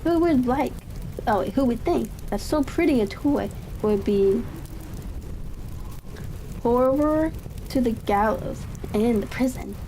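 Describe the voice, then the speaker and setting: High, mellow-voiced woman reading a story. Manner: unhurried, halting, stumbles and corrects words. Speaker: audiobook reader. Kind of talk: calm ASMR-style reading of a classic mystery story. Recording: steady rain-like crackling ambience behind. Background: fireplace crackle ambience.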